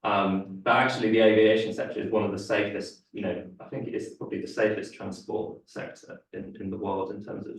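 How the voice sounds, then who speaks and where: A young man delivers a seminar talk in a low, flat voice, stopping often on fillers and restarts. His voice is a little worn, as if he is losing it. He sounds far from the mic in a very echoey room. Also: a little fast, vocal fry, few pauses. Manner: hesitant, many fillers and restarts. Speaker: guest speaker. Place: lecture room.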